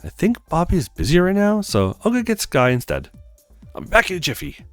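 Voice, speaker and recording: A man's voice: sits high, wildly swooping pitch, fairly quick, dry recording, faint music behind, pitched above the performer's own voice, full character voice. A man voicing a smitten, modest young woman in her twenties, eager and a little flustered, in a visual novel.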